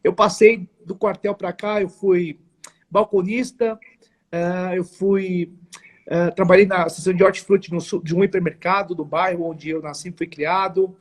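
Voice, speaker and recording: A man in his forties with a São Paulo accent, speaking as a guest on a live interview. His voice sits high for a man and has a husky edge. He tells his story earnestly and emphatically, in formal phrasing with many restarts. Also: steady tone, normal speed. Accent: São Paulo Portuguese. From São Paulo, Brazil. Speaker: guest, network marketing entrepreneur.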